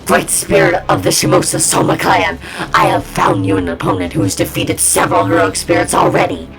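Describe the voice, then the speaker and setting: A woman voicing a solemn, grandiose male villain summoner in a game, with a gravelly, incantatory delivery. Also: deep voice, sing-song, brisk, over music, voice pushed down, exaggerated voice, poor audio. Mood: ominous, solemn. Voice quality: gravelly, creaky. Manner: incantatory, declaiming.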